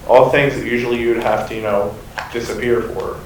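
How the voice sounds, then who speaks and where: A man's voice: mid-range voice, steady tone, brisk, recorded from a distance. A young man investigating a barn at night, talking casually and speculating aloud with loose fillers. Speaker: paranormal investigator. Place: dark old barn at night. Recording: loud noisy echoey barn from off-mic.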